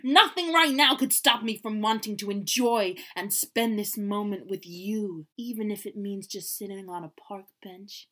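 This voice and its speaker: A woman's voice: mid-range voice, moderate pace, few pauses, bright, forceful tone. A woman playing an earnest, playful young boyfriend in an amateur romance play, with theatrical, emphatic delivery. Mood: earnest, defiant. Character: young man Oliver, aspiring rapper, earnest, playful boyfriend. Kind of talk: amateur one-act romance play read-through.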